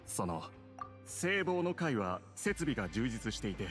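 A man's voice: medium pitch, very animated, measured pace. A man voicing a nervous, apologetic club member in a Japanese game, hesitant and faltering. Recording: game audio under loud music.

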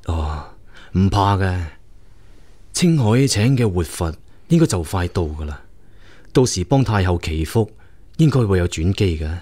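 Lively, deferential imperial prince. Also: male voice, low voice, unhurried, pauses often, full character voice. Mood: solicitous. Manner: deferential, earnest, explanatory. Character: loyal princely younger brother advising the emperor. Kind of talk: Cantonese radio drama acting.

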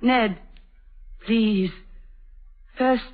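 A woman's voice: fairly high pitch, very slow. An actress playing a tormented middle-aged mother in a vintage radio drama, tearful and theatrical. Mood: anguished. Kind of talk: 1930s radio acting. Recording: tinny old radio broadcast.

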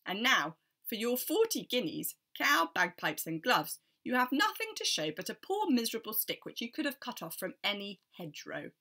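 A woman's voice: big pitch swings, moderate pace, bright, forceful tone, full character voice. A woman voicing a talking parrot that shrilly scolds a foolish man. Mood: scolding. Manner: shrill, mocking, relentless scolding.

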